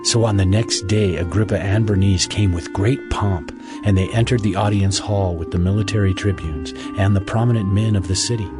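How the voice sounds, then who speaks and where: A man's voice: low-pitched, steady tone, normal speed, music in the background. A man narrating scripture as the storyteller of a dramatized audio Bible.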